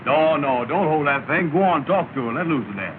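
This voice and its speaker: A man playing a bossy, muddled, slow-witted sidekick in a 1930s comedy film, blustering in a folksy way. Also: fairly high pitch, animated, close to an everyday voice. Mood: exasperated. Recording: noisy, tinny 1930s film soundtrack.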